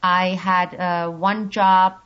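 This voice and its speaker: A woman's voice: fairly low pitch, flat delivery, smooth. Woman on a muffled call. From Turkey. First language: Turkish. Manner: measured, explains at length with many pauses. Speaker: interview guest. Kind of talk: long recorded call interview. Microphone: video call. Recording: dull lo-fi call audio.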